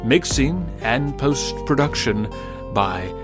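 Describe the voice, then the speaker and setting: A man announcing scripted show credits in a measured narration. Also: mid-range voice, animated. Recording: music bed behind voice.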